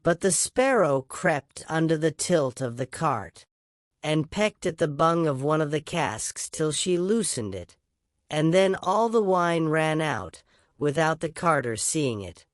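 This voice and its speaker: A storyteller giving an expressive scripted reading in a storybook narration style. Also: mid-range voice, a little slow, no echo, studio quality.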